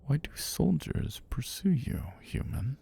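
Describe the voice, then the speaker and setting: A man voicing an ancient giant serpent god in an ASMR fantasy roleplay, languid and sinister, with formal, amused, softly menacing speech and a husky, breathy, gravelly voice. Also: medium pitch, sing-song, a little slow, slight background noise, exaggerated voice. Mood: amused, curious.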